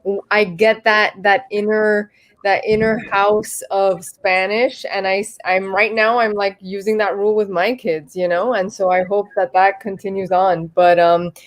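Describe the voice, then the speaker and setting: A woman's voice: mid-range voice, flat delivery, unhurried. Reflective Cuban-American woman panelist. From United States, Cuban family. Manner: reflective, thoughtful, full of fillers. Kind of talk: casual panel discussion. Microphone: video call.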